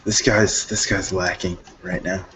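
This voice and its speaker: A young man guest on a casual live podcast, throwing out deadpan, provocative jokes. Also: low voice, a little slow. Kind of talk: casual live-stream chat. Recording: dull lo-fi audio with faint noise.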